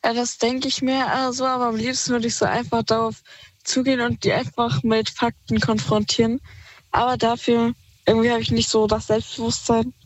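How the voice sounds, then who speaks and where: A woman's voice: fairly high pitch, little pitch movement, brisk, no echo, poor audio. A woman calling in to a radio show on her phone, talking hesitantly with many fillers. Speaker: caller. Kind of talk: phone-in conversation. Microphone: phone held to ear.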